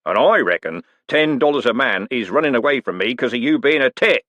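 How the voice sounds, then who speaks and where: A man doing a gruff, bossy coachman. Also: medium pitch, expressive, a little fast, a bit bright, dry recording, voice pushed up. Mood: scornful. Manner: gruff, rough-spoken, mock-polite to superiors. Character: blunt working-class male coachman, quick and bossy.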